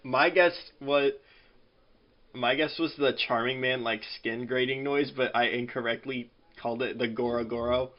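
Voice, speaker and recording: A man's voice: mid-range voice, fairly even intonation, moderate pace, dull audio. A man chatting informally as a panelist on a group podcast. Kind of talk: casual group podcast chat.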